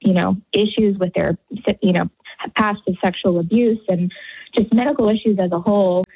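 A woman in her thirties with an American accent, giving an earnest, halting personal account as a radio interview guest. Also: mid-range voice, steady tone, creaky, husky. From Houston area, Texas, United States. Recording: narrow phone-like line, roomy echo.

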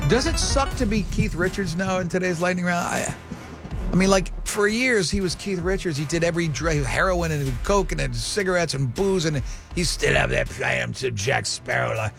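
A man's voice: high voice, vocal fry, a bit bright. A man in his fifties hosting a talk show, chatting and joking with co-hosts in a rambling way. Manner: joking, rambling, quick to laugh. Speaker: radio show host. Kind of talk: casual banter with co-hosts.

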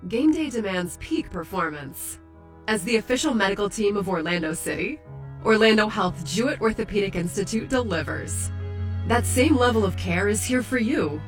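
Woman doing a radio ad read, smooth and even, light music under. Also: mid-range voice, moderate pace. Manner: polished, even delivery. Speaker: ad announcer.

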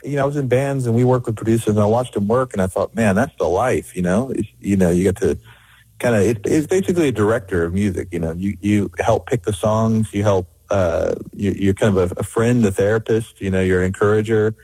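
A man's voice: lowish voice, fairly quick, warm tone, breathy edge, no room sound, rough recording. A middle-aged man, a record producer, talking in an interview with many restarts and fillers. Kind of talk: conversational interview.

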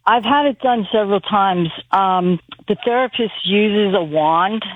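A woman calling in to a radio show by phone, speaking haltingly as she searches for words. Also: medium pitch, no room sound. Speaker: caller. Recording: muffled, narrow phone line on radio.

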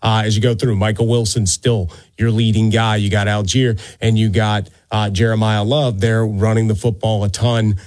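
A man's voice: fairly low pitch, little pitch movement, normal speed, few pauses, a little mellow, no room sound. Chatty man hosting late-night sports radio. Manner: chatty, rambling, playful. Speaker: sports radio talk host. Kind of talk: casual late-night sports talk monologue. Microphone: close studio microphone. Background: music.

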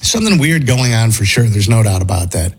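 A male morning radio host who is losing his voice, clearing his throat and giving strong opinions. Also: lowish voice, big pitch swings, a little fast.

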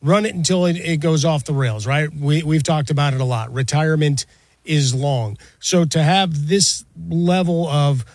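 A man's voice: fairly high pitch, normal speed, a little mellow, dry recording. A man co-hosting a sports talk show, reflective and nostalgic as he talks things over casually. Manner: reflective, nostalgic, with fillers and restarts. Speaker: sports podcast co-host.

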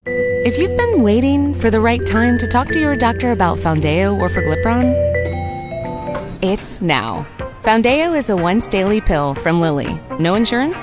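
A woman reading a pharmaceutical commercial in a measured, reassuring tone. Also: fairly high pitch, sing-song, over loud music. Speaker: ad announcer. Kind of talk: scripted pharmaceutical ad.

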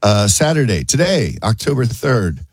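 Low-voiced radio host with a cold. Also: male voice, sing-song, normal speed, breathy edge, dry recording, clean studio sound. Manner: joking, rambling, chuckles often. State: has a cold. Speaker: gardening radio show host. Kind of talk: casual radio chat.

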